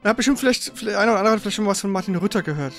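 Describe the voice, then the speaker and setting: A man's voice: sits high, animated, rapid-fire, a bit bright, faint music behind. A man hosting a gaming livestream, chatting informally and rambling a little. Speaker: gaming stream host. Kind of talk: casual livestream chat.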